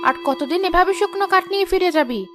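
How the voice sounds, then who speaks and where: A woman's voice: very high-pitched, quick pace, over music. A woman voicing a hungry, scheming man-eating forest witch in a cartoon, menacing and gloating.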